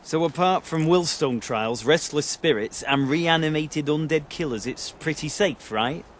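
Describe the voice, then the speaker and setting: Man playing a wry young hero, lightish pitch. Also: measured pace, slight background noise, lightly acted. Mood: wry, uneasy. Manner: casual, wry, muttering to himself. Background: game music.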